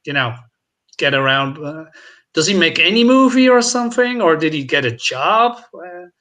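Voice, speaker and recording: A man chatting casually on a film discussion panel, with halting, repetitive phrasing. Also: on the high side, sing-song, sharp tone. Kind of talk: casual chat.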